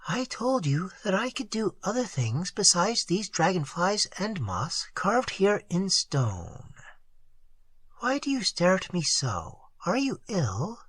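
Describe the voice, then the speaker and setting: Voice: medium pitch, sing-song, slow, breathy edge, dry recording, pitched above the performer's own voice, exaggerated voice. A reader whispering as a shy young woman sculptor. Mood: gentle, wondering. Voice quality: soft and whispery. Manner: innocent, wondering. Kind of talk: audiobook dialogue.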